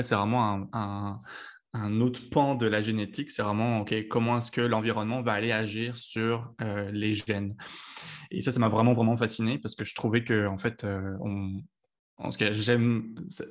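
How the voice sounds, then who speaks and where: A young man from Lyon with a fairly low voice answers interview questions. He talks hesitantly, circling back and restarting his sentences as he thinks them through. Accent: French from Lyon. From France. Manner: hesitant, many fillers and restarts. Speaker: guest, PhD student.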